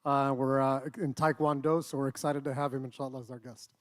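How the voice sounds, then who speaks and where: A man hosting a mosque program, introducing speakers in a relaxed, joking way with many fillers. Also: mid-range voice, brisk. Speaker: event host. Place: mosque hall.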